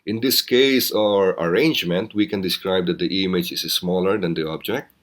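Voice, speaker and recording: Guy with a low voice teaching a physics lesson, measured, explains stuff step by step.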